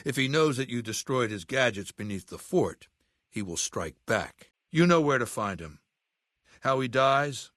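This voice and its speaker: An imperious middle-aged warlord for a video game, with a mid-pitched voice recorded close and dry. He talks down to his listener deliberately, every phrase carrying command and menace. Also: a bit bright, dry recording. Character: imperious middle-aged male dictator of a slaver legion. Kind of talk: video game character dialogue.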